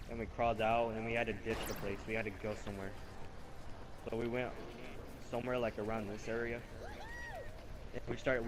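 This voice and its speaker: A young man improvising a casual, rambling story over video game footage, earnest and repetitive as he goes. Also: medium pitch. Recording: noisy home game-capture microphone.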